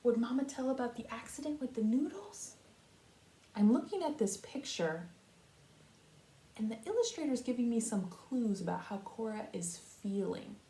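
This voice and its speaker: A woman reading a children's storybook aloud like a kindergarten teacher, expressive and pausing to talk to young listeners. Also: a little high. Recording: roomy echo.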